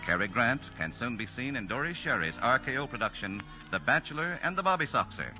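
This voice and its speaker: A man's voice: mid-range voice, very animated, fairly quick, pushed and bright. A man announcing formally from a script in 1940s radio style. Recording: muffled vintage radio broadcast. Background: music.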